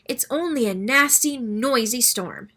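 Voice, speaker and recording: A bossy yet reassuring male owl from a storybook, acted out by a woman. The voice is raised and bright, with lively swings as he lays out instructions emphatically. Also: a little high, a little slow, full character voice. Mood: calming.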